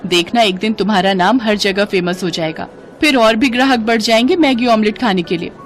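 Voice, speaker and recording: Woman acting a kind, eager young woman, soft music. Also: medium pitch, fairly quick, natural voice. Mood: cheerful, encouraging. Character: kind, helpful young woman customer, social-media food blogger. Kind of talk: storybook character acting.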